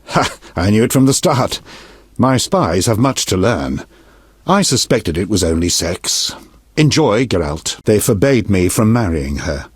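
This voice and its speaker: An actor playing a stern, shrewd middle-aged king in a video game, speaking formally and deliberately with a husky, commanding voice. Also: on the low side, no echo, clean studio sound, heavily acted. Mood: wry. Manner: formal, measured, imperious, deliberate diction. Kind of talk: scripted video game dialogue.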